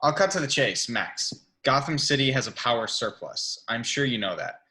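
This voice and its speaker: A man playing a firm, principled billionaire businessman in a measured, confrontational way at an amateur table read. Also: medium pitch, animated, sharp tone. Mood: firm, challenging.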